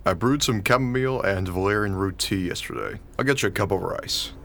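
A man's voice: on the low side, fairly quick, gravelly, a bit bright. A man playing a weary, irritable young schoolteacher husband in a radio drama, grumbling and exasperated. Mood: irritated. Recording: faint background noise.

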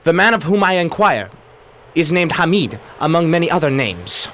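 Deep, stiff and pompous, a male governor's secretary insists on his rights with formal indignation, as a woman plays him low in a radio play. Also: very animated, moderate pace, a bit bright, slight background noise. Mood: insistent. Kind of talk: radio drama acting.

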